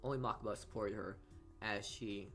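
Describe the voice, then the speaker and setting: Halting male reader over game music. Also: fairly low pitch, fairly even intonation, a bit bright. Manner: halting reader, often stumbles and repeats words. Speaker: video game let's player. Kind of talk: reading visual novel narration aloud with casual commentary.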